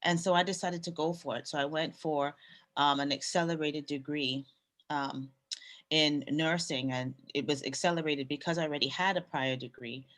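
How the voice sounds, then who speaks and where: A woman who grew up in Canada, a nurse and business owner, telling her story in a relaxed way with pauses and fillers. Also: deep voice. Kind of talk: casual interview storytelling.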